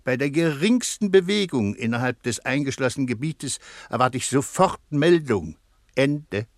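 Male actor as police commander, impatient, officious, medium pitch, close dry sound, radio satire. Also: lively intonation, normal speed, no echo, natural voice. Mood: brisk, commanding. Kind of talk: German radio crime satire acting.

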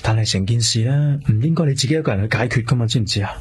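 An actor playing a caring, protective police detective in naturalistic Hong Kong Cantonese radio drama dialogue. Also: male voice, mid-range voice, racing, close to an everyday voice. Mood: worried, chiding. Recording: music behind the dialogue.

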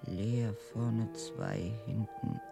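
An actress playing a weary, stubborn housewife who nurses a sick husband in a radio drama, speaking in a halting, reciting way. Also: female voice, a bass voice, vocal fry, full character voice. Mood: pedantic, stubborn. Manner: slow, halting recitation, reciting chores and puzzle words to herself. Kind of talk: German radio drama acting. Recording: studio radio play with music underneath.

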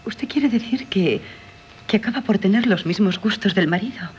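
A woman's voice: mid-range voice, animated, moderate pace, dull audio, lightly acted. An actress playing a modest, curious young woman in a Castilian Spanish radio drama, demure and full of questions. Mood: wondering. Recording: noisy 1970s radio broadcast.

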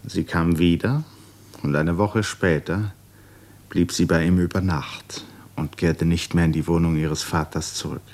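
A male narrator with a very low voice reads scripted literary prose. He tells the story of a radio play. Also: steady tone, measured pace, darkish tone.

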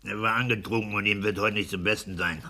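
An actor playing a pompous, domineering clerk and husband in a 1960s German radio play, scolding and self-satisfied. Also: male voice, on the low side, steady tone, quick pace, pushed and bright, slight background noise. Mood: scolding, blustering.

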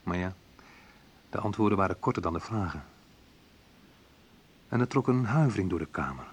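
A wounded man telling of his own youth in a radio play. His voice is low and rough-edged. He speaks colloquially, in short, clipped sentences. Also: heavily acted. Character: bitter, wounded male narrator recalling his youth. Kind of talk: dramatised first-person narration, radio play.

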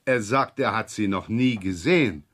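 An actor playing a wily middle-aged police inspector in a radio crime drama, dryly sarcastic, probing and feigning absent-mindedness. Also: male voice, fairly low pitch, normal speed, fairly bright tone. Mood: needling. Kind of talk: 1970s German radio crime drama acting.

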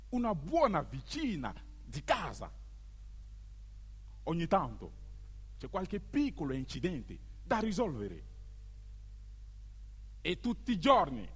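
A man narrating a theatrical monologue on stage, emphatic and deliberate, in short declaimed phrases. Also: a little high, fairly bright tone, breathy edge. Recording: long hall reverb, live music behind. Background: live musical accompaniment.

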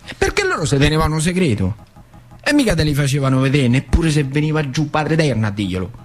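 A man's voice: on the high side, quick pace. An actor playing an old working-class man from a factory town, telling his youth in earthy, repetitive, colloquial theatrical monologue with a central Italian accent. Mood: earnest, wry. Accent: central Italian, northern Lazio. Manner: animated, earthy, conversational, repeats phrases. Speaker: stage actor performing a monologue. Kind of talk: theatrical first-person storytelling in colloquial dialect.

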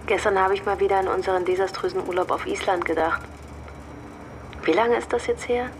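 An actress playing a wistful, gently needling girlfriend in a radio drama, speaking in a reflective, conversational way. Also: mid-range voice, steady tone, brisk, a little hiss or hum, natural voice. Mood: teasing. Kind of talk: naturalistic radio drama dialogue.

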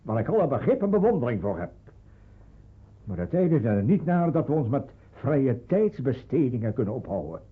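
Husky, worried, stern father. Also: mid-range voice, very animated. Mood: defensive. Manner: halting, stammering in places. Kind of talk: radio drama dialogue. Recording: tinny band-limited old radio play.

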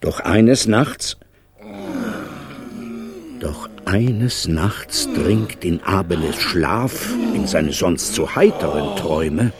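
An actor narrating a radio fairy tale as its storyteller, in a deliberate, dramatic manner. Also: male voice, low-pitched, big pitch swings, a loud crowd, exaggerated voice. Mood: storytelling. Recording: music behind.

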